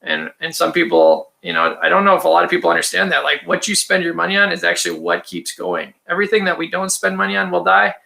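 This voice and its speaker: Raspy, gravelly man. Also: on the high side, animated, quick pace, few pauses, bright, forceful tone. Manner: rambling and chatty, frequent ums and throat clearing. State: raspy from allergies or stress. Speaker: filmmaker hosting a livestream. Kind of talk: casual livestream chat reading viewer comments.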